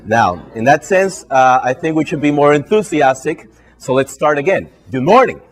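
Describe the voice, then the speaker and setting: A man's voice: fairly high pitch, expressive, moderate pace. A man from Puerto Rico with a Puerto Rican Spanish accent, Spanish his first language, giving a live training to bartenders and ending nearly every phrase with "all right?". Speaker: brand ambassador and trainer. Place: hotel function room with an audience.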